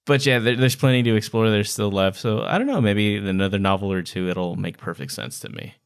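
A man talks as a podcast co-host in a relaxed book discussion. His voice sits a bit low and sounds clean and resonant. The delivery is casual and conversational. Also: a little fast, clean tone.

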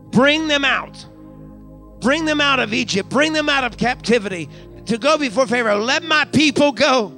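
High, bright-voiced preaching pastor. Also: male voice. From United States. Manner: preaching with frequent hallelujahs and call-outs to the congregation; repeats phrases for emphasis, building to raised climaxes. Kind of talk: live church sermon. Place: church sanctuary during a live service.

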